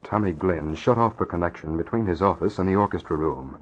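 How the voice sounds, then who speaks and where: A man's voice: low voice, brisk. A man narrating a scripted radio adventure drama in an old-time broadcast style. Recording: dull old radio recording, faint noise.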